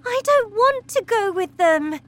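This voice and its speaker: A woman voicing a clever little schoolgirl who speaks high and urgently, pleading. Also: animated, a little slow, fairly bright tone.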